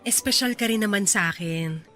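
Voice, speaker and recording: A young woman acting a radio drama heroine, calm and firm as she gently declines a friend's love. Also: mid-range voice, moderate pace, close to an everyday voice. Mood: gentle. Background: faint music.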